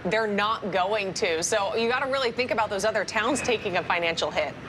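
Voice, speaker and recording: A woman's voice: medium pitch, brisk, background noise. An American woman anchoring a broadcast news programme.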